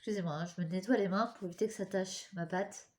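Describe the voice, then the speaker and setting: A woman's voice: medium pitch, steady tone, fast. A young woman with a cold and a stuffy nose, hosting a casual step-by-step craft tutorial and chatting apologetically while she works with her hands.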